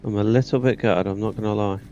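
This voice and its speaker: A man's voice: on the low side, fairly even intonation, fast talker, mellow, lo-fi sound. A man giving casual let's play commentary, muttering to himself as he goes through a game. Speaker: gamer commentating on a playthrough.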